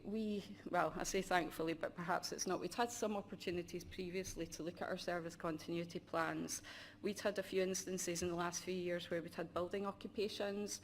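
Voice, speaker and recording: A middle-aged woman giving a conference presentation as a support manager, in a self-described monotonous delivery with frequent ums. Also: on the low side, slight background noise. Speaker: conference speaker, university user support manager.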